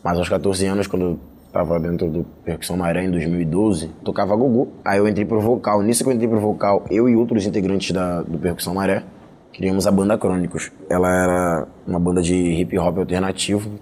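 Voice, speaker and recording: A man's voice: sits low, steady tone, fairly quick, a little mellow, slight background noise. A young man with a Carioca Brazilian accent, telling personal stories casually and colloquially in an interview. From Rio de Janeiro, of Angolan family. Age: young adult. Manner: colloquial, slangy. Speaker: hip hop musician interviewee.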